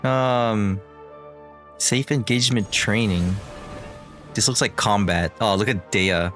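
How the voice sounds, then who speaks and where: A man streaming a game, playful and giggly, thinking out loud as he goes. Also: lowish voice, takes it slowly, faint music behind. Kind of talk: casual gameplay chat.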